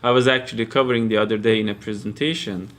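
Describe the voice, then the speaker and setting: Measured Turkish-accented man, careful speech. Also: on the low side, steady tone, fairly bright tone. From Turkey. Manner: careful, measured, hesitant with many fillers. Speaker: podcast guest, academic. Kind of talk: casual interview talk. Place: basement room.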